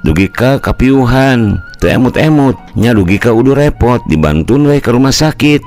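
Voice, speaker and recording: A man's voice: mid-range voice, lively intonation, normal speed, darkish tone, faint music behind, close to an everyday voice. A man with a Sundanese accent voicing a polite village man who relays gossip respectfully in an audio folk story. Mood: gossipy, respectful. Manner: deferential, chatty, storytelling.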